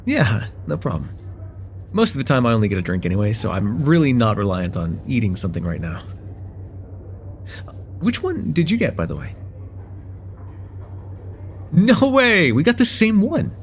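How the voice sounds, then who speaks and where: A man's voice: mid-range voice, wildly swooping pitch, moderate pace, pauses often, slight background noise. A man playing a friendly, chatty young guy at a cafe in a casual, slightly hesitant conversational ASMR roleplay. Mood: friendly, curious.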